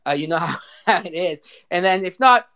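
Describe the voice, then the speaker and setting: A mid-pitched voice hosting a live audio show. The delivery is informal and slangy, with effusive praise and a loose, chatty flow. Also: expressive, fairly quick, a bit bright. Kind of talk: casual chat hosting.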